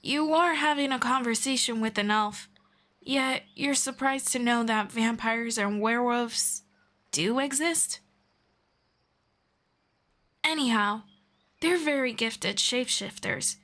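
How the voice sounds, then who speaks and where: A woman voicing a patient, gently formal female elf friend in an ASMR roleplay, speaking softly and politely. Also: fairly high pitch. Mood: calm, patient. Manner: soft, unhurried, politely formal.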